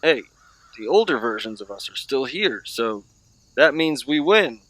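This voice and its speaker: Man acting a bright, animated, hopeful adventurer. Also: medium pitch, unhurried, no echo, poor audio. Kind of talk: audio drama acting.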